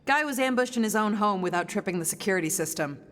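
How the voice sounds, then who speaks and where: A woman's voice: medium pitch, expressive, quick pace, fairly bright tone, big-room sound, close to an everyday voice. A woman voicing a casual, wry mercenary in a video game, offhand and slangy.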